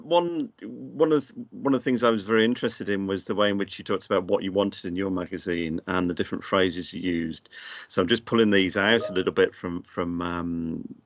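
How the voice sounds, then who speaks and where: Deep-voiced man chairing a panel, halting. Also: fairly bright tone, dry recording, muffled recording. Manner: hesitant, halting, many fillers.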